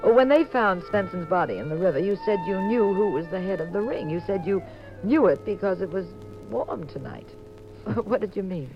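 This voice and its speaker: A woman's voice: mid-range voice, lively intonation, moderate pace, faint music behind, natural voice. Woman acting a coy detective's wife, muffled radio. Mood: cozy, flirting. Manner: coy, teasing. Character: playful, affectionate wife of a detective, amateur sleuth. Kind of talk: 1950s radio drama acting. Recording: dull 1950s radio recording.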